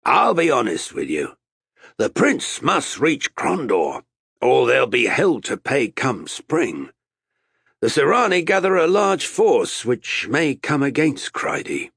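A man's voice: medium pitch, huge pitch swings, takes it slowly, creaky, a bit bright, husky, dry recording, studio quality, pitched above the performer's own voice, heavily acted. A man voicing a bold, blustery, threatening sea captain in an audiobook, with an emphatic theatrical delivery. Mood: firm persuasion. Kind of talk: audiobook character acting.

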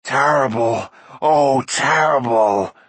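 Wailing, whimpering shaggy creature. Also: male voice, medium pitch, takes it slowly, fairly bright tone, slightly rough, no echo. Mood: terrified. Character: shaggy, whimpering, miserable creature speaking of himself in third person.

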